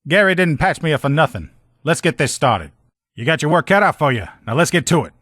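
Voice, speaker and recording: A man's voice: fairly high pitch, big pitch swings, brisk, fairly bright tone, no room sound, clean studio sound. A man playing an upbeat, loyal army lieutenant and armor mechanic, with folksy, informal soldier talk, as video game dialogue. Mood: firm, confident.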